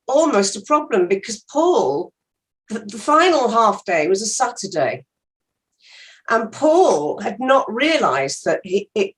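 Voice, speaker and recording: A woman, an actress being interviewed, reminisces casually in a measured, precise and polite manner. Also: fairly high pitch, low-quality recording.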